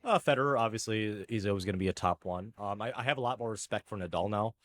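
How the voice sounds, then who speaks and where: Enthusiastic man, low voice. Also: quick pace. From United States. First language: Filipino. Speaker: tennis coach and channel host. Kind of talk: casual chat.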